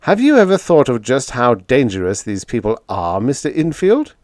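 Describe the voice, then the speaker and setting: A man voicing a young, impassioned, dangerously egotistical demagogue in an audiobook, forceful and preachy. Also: mid-range voice, huge pitch swings, moderate pace, dry recording, studio quality, voice pushed up. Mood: fervent, insistent.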